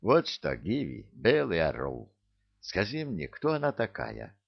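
A man's voice: fairly low pitch, huge pitch swings, a little slow, vocal fry, heavily acted. A man voicing an imperious, suspicious queen in a comic fantasy audiobook, commanding and questioning. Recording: dull lo-fi audio.

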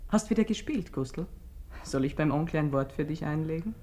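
An actress playing a concerned, caring sister with an Austrian accent, gently questioning in a radio drama. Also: female voice, low voice, expressive, brisk.

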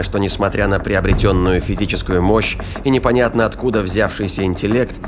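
A man's voice: on the low side, background noise. A man narrating a scripted science-fiction audio drama.

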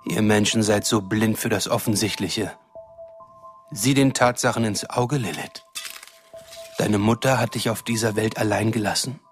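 Man acting a coldly menacing, smooth-talking villain. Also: lowish voice, moderate pace, faint music behind, close to an everyday voice. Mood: cruel, coaxing. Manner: smooth-talking, taunting, threatening. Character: menacing, condescending male shapeshifter villain, coldly cruel. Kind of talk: German radio drama acting.

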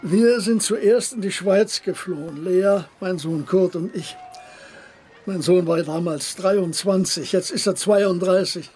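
Old blind businessman in a radio play, courteous, measured, quite high voice, bit of background noise. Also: darkish tone. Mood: courteous, matter-of-fact. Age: 60s. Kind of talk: radio drama acting.

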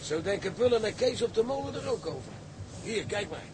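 An actor playing a seasoned, plain-spoken sailor with a knowing, matter-of-fact way of talking. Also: male voice, sits high, expressive, brisk, noisy background. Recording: loud ship and engine ambience.

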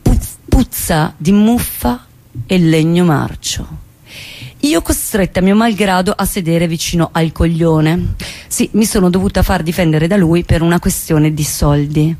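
Embittered, outspoken woman, low-ish register, theatrical monologue delivery, emphatic and intense with weighted pauses. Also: warm tone. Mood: scornful. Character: embittered outspoken woman recounting her lost friend and trial.

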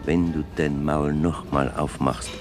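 A man's voice: a bass voice, level tone, unhurried, over music. An actor playing a boastful, thuggish man who talks smugly and menacingly in a radio play. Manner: smug, menacing storytelling. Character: boastful thuggish man telling of paid intimidation.

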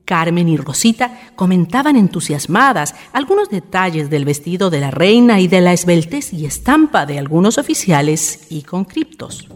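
A woman's voice: fairly low pitch, sing-song, normal speed, few pauses. A woman reading scripted narration for a radio drama. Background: soft music.

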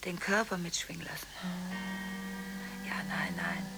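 An actress in a radio drama playing a frantic, easily unsettled woman on telephone calls, speaking in halting, repetitive fragments. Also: lowish voice, big pitch swings, creaky, loud music behind the voice, exaggerated voice, low-quality recording. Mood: subdued, dreamy. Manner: breathless, repeats words, broken halting phrases, swings between whispers and outbursts. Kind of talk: radio drama acting, one-sided telephone monologue. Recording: hissy studio radio drama recording.

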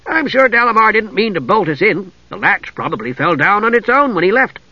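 Storybook Kender adventurer, small, male, cheerful and fearless: high, lively, eager rambling, performed by a man, slightly dull recording. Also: brisk, breathy edge, dry recording, full character voice. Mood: breezy.

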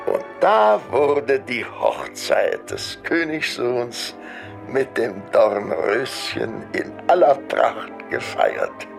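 A man with a gravelly voice reading a fairy tale aloud as storybook narration. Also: medium pitch, sing-song, drawn-out words. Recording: faint music behind. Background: faint music.

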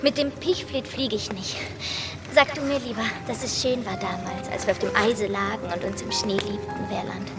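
An actress in a German radio play voicing a lively, playful, romantic young baroness who teases and pleads poetically. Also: female voice, mid-range voice, normal speed, distant mic, over loud music, natural voice. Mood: teasing, dreamy. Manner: exuberant, pleading, poetic, theatrical.

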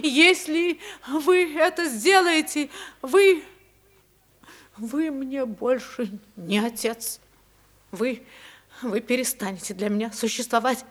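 A proud woman in an old-fashioned melodramatic radio play. Her voice is very high and she speaks slowly, pleading and defiant with heavy dramatic emphasis. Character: desperate, proud married woman defying her father. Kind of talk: theatrical radio drama acting.

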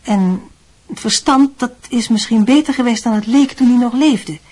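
Husky, doting middle-aged mother. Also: on the high side, moderate pace, mellow. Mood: explaining. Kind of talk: Dutch radio drama acting.

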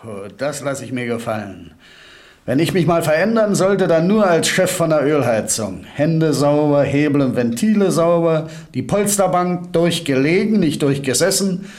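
A man's voice: fairly high pitch, animated, normal speed, a little mellow, close to an everyday voice. A man playing an easygoing, obliging junior police technician, wry and chatty, in a German crime radio play. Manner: wry, chatty, deferential to his boss.

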